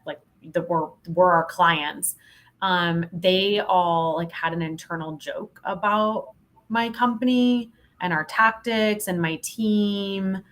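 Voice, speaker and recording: A woman's voice: fairly low pitch, slow, a bit bright. A woman speaking as a podcast guest, telling work stories in a candid, emphatic, informal way. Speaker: podcast guest, sales consultant. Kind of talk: conversational interview storytelling.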